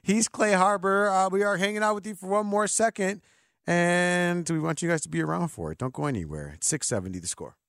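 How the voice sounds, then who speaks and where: Man in his thirties, sports radio host, teasing banter, lively pitch, dry studio mic. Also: brisk, no echo. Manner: joking, animated, teasing. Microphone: studio microphone.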